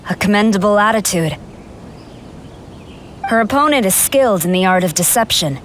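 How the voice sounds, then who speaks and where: A woman's voice: mid-range voice, expressive, moderate pace, a little hiss or hum, lightly acted. A woman playing a stern, dignified general in a video game, speaking formally and with reserve. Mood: stern, cautioning.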